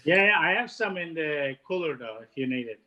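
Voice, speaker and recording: A man in his fifties with a Turkish accent talks through his answers haltingly, pausing on "uh" and starting over. His voice sits a little high for a man. The audio is dull and lo-fi, like a video call. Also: expressive, bright, forceful tone. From Turkey. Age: 50s. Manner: halting, many "uh" fillers and restarts. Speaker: guest, head of catering. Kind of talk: casual interview chat.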